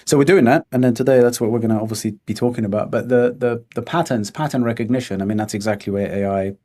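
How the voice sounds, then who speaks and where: Man, rapid pace, soft mellow tone, casual co-presenter chat, choppy repeated phrases. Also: mid-range voice. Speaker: consultancy founder, co-presenter.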